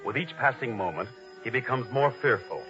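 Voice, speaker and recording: A man's voice: mid-range voice, animated, brisk. A man narrating a scripted 1950s radio drama in a solemn, formal manner. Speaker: announcer and narrator. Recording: dull old radio recording. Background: music.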